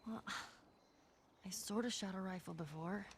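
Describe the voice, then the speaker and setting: A woman's voice: mid-range voice, normal speed, slight background noise, lightly acted. A woman playing a feisty, sarcastic teenage girl survivor in a naturalistic video game cutscene, blunt and sardonic. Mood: earnest.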